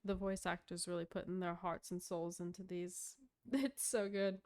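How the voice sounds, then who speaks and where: A woman's voice: fairly low pitch, level tone, moderate pace, warm tone. A woman giving casual live stream commentary on a game, chatting in a gushing, giggly, informal way.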